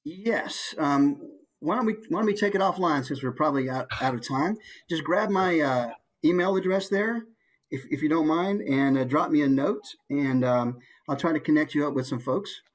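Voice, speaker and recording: A man's voice: a little high, moderate pace. A man from the United States chatting casually as a workshop presenter, encouraging and telling stories in a jokey way. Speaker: presenter, voice actor. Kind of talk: casual chat with workshop attendees.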